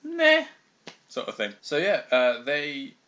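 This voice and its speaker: A man's voice: on the high side, wildly swooping pitch, slow pace, sharp tone, highs cut off. A British man co-hosting a podcast, talking in a dry, wry and rambling way with lots of fillers. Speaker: podcast co-host. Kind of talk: casual anime discussion.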